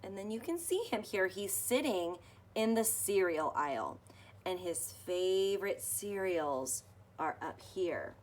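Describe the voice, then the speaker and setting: Slow-paced woman reading a picture book. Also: medium pitch. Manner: expressive, explanatory, as if to young children. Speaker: storybook reader. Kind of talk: read-aloud of a children's picture book with explanatory asides.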